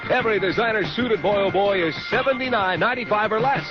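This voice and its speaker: A man's voice: sits high, fast. A man reading a promotional 1970s radio commercial as a store announcer. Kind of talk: promotional ad read. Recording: muffled 1970s radio broadcast. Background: loud music.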